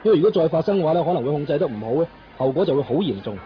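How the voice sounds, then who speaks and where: A man plays a brisk Hong Kong fire captain who waves everything away. He talks very fast in Cantonese, a little high, in radio drama manner. The sound is muffled and clearly noisy. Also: lively intonation, lightly acted. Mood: dismissive. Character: male fire brigade captain, brisk, dismissive, downplaying. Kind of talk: 1990s radio drama acting. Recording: muffled radio recording with clear background noise.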